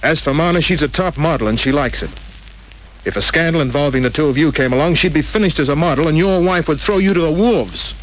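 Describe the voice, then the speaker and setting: A man's voice: fairly high pitch, quick pace, lightly acted, low-quality recording. A man playing a cool, shrewd private detective in an old-time radio drama, with a wry, terse tough-guy delivery. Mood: confident, needling. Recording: old radio recording with faint hiss.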